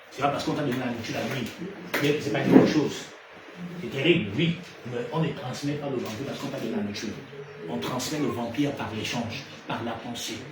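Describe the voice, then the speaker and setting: A man preaching a sermon in an animated way, rising to loud emphatic peaks and then dropping low. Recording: echoey room from far off, noisy ambience.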